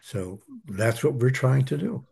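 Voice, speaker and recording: A man's voice: medium pitch, expressive, a little slow, creaky, darkish tone, breathy edge. A man teaching a spiritual study group, earnest and emphatic, repeating key phrases as he answers questions.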